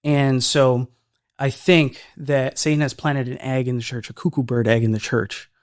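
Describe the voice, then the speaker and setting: A man's voice: mid-range voice, fairly even intonation, normal speed, dry recording, clean studio sound. A man who is a filmmaker, talking earnestly as a podcast guest and often restarting his words. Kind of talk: casual podcast conversation.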